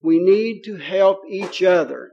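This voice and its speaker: Kentucky preacher guy, pretty high voice, jokey and folksy, lots of uhs. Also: slow pace, vocal fry, dull audio. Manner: folksy, joking, with many fillers. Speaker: pastor. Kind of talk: sermon.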